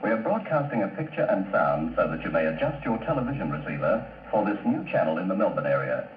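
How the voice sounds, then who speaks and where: A man's voice: low voice, very animated, fast talker. A man reading a formal, instructive test announcement as a television station announcer, on an old archive broadcast recording. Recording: echoey, tinny, slightly noisy archive broadcast.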